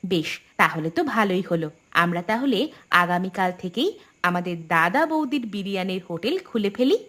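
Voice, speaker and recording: A woman voicing a shrewd, practical village wife in a cartoon, brisk and teasing. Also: on the low side, animated, fairly bright tone. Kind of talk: Bengali cartoon voice acting. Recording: background music.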